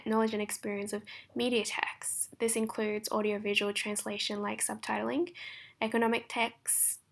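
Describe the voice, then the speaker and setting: Flat, gravelly-toned young woman presenting. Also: medium pitch. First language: Japanese. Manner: formal, measured, read-through delivery. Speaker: translation student. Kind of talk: recorded class presentation.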